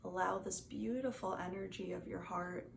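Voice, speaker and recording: A woman gently guiding a meditation as a teacher, in a soothing, soft and unhurried manner. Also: on the low side, fairly even intonation, gravelly. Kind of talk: guided meditation instruction. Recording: faint background noise.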